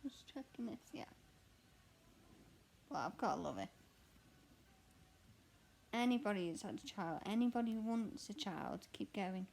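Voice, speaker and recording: Young mum, croaky and gravelly, slow chatty vlog delivery, faint background hiss. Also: female voice, medium pitch, lively intonation, darkish tone, rough recording. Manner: chatty, rambling, candid. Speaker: cross stitch vlogger and mother. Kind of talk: casual chat answering a question tag while stitching. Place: at home.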